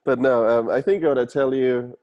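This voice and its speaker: A man's voice: mid-range voice, normal speed, no room sound, muffled recording. A man in his thirties from Germany with a German accent, answering interview questions in an earnest, reflective way with frequent restarts. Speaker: guest entrepreneur.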